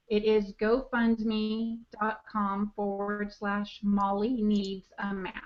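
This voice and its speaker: A woman co-hosting a podcast, chatting casually, laughing often and restarting her sentences. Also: mid-range voice. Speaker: podcast co-host. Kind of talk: casual chat. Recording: dull lo-fi online call audio.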